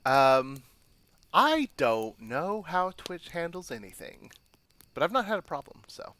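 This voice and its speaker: A man's voice: on the high side, big pitch swings, unhurried, a bit bright. A man streaming a game, chatting casually and reading story text aloud in a self-deprecating, chatty way. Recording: background music under voice.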